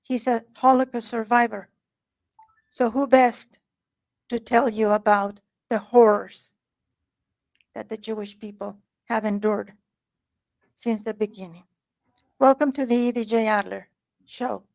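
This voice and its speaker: A woman hosting a talk show, speaking in a slightly higher register. She introduces and questions her guest in an effusive, heartfelt manner. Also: fairly even intonation, unhurried, vocal fry, long pauses, a little mellow. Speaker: host.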